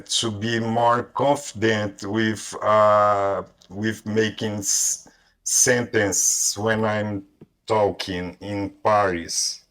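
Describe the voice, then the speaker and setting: Slow, halting Brazilian man. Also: fairly low pitch, fairly bright tone. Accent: Brazilian Portuguese. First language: Portuguese. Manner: hesitant, halting, frequent restarts. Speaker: language learner. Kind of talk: online conversation party chat.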